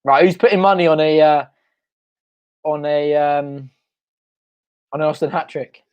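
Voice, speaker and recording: A young man hosting a live football watch-along from home, excitable and shouting, his voice going hoarse. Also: a little high, a little slow, highs cut off. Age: young adult. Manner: excitable, shouting and chanting at goals. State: losing his voice. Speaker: fan channel host. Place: home front room.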